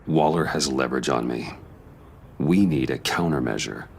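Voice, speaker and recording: An actor playing a stern, brooding masked hero in a video game, terse and commanding, with a gravelly voice. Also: male voice, rumbling low, moderate pace, a little hiss or hum. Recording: loud music behind the voice.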